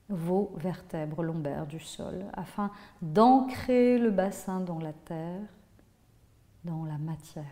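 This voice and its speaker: A woman teaching yoga nidra, guiding a relaxation in a measured, instructive way with pauses between phrases. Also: fairly low pitch, mellow. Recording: long hall-like reverb on voice.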